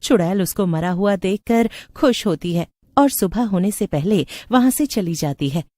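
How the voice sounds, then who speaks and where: A woman's voice: mid-range voice, expressive, normal speed, dark tone, no room sound, clean studio sound. A woman narrator reading a scripted story.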